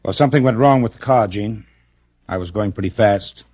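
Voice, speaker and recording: An actor plays an affectionate but doubting husband from 1940s radio. He is fairly low-pitched and earnest, halting as he reasons. The recording is dry and slightly dull. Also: darkish tone, no echo, lightly acted. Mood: weary. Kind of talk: 1940s radio drama acting.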